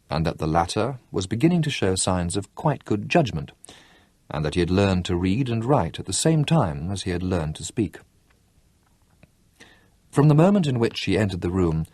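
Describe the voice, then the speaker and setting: A man narrating a novel as an expressive audiobook reader. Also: sits low, husky, dry recording. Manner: expressive storytelling reading. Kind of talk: scripted narration.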